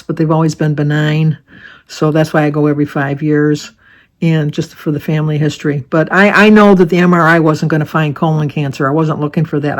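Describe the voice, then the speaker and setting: A woman in her seventies from Michigan, chatting casually to her viewers with a slightly raspy voice and rambling off topic. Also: low voice. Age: 70s. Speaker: vlogger. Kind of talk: casual chat to camera.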